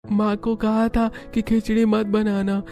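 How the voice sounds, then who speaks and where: A woman's voice: medium pitch, flat delivery, a little slow, dark tone, soft background music, lightly acted. A woman voicing a whiny little village boy in a cartoon story.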